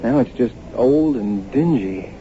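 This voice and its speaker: A male actor plays a rational, dismissive young American husband in a vintage radio drama. His tone is very dark and relaxed, yet the pitch moves freely. The broadcast sounds muffled with some hiss. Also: measured pace, lightly acted. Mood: easygoing. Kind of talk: old-time radio drama acting.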